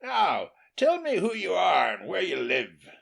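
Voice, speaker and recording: A blunt, honest peasant charcoal burner from a storybook voiced by a male narrator. He is plain-spoken and gruffly hospitable, with strong swings in his pitch. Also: wildly swooping pitch, unhurried, fairly bright tone, exaggerated voice. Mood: bossy, indignant.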